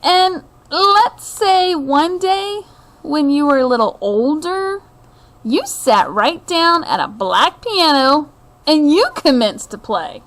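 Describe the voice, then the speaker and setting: A middle-aged woman reading a children's picture book aloud, with expressive storytelling, deliberate phrasing and asides to her listeners. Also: high-pitched. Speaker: read-aloud host.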